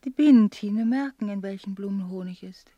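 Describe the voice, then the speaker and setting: An actress playing a brooding, intense, bookish mother in a literary radio play, hushed at times and insistent at others. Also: medium pitch, normal speed, highs cut off, lightly acted.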